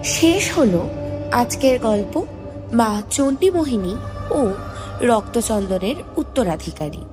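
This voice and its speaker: A woman's voice: a little high, expressive, slow, long pauses. A woman presenting an audio story's credits in a scripted announcement. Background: background music.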